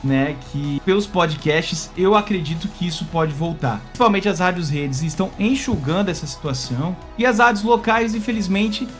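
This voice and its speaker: A Brazilian man hosting a casual opinion video, talking to viewers in an expressive, conversational way that often seeks their agreement. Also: high-pitched, normal speed, hardly any pauses, soft background music. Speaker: YouTube channel host.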